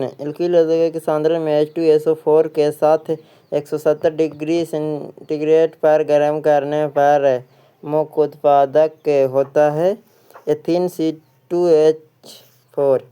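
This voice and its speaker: A man's voice: a little high, warm tone, clean tone. A man with an Indian accent teaching for an exam, reading quiz questions and answers aloud and often repeating them.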